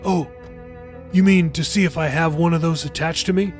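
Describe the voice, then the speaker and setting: A man's voice: a little high, fairly even intonation, faint music behind, pitched above the performer's own voice, close to an everyday voice. A man reading an audiobook, voicing an uneasy, troubled man who answers in short, unsure phrases. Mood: resigned. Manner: halting, unsure, terse. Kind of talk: audiobook character dialogue.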